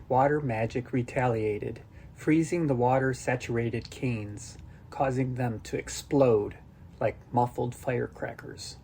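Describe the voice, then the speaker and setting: A man's voice: mid-range voice, darkish tone, slight background noise. A man reading a scripted first-person story as an audiobook narrator.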